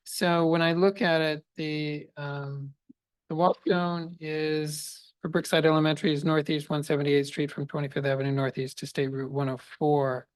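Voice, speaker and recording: A man's voice: on the high side, flat delivery, normal speed, clear, resonant tone, no room sound. A man on a city council asking careful, hesitant questions, with many fillers.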